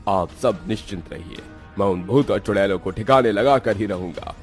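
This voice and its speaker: A man's voice: lowish voice, moderate pace, faint music behind, lightly acted. A man voicing a resolute male tantric exorcist in a cartoon horror story, solemn and commanding. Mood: reassuring. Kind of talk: animated horror story dialogue.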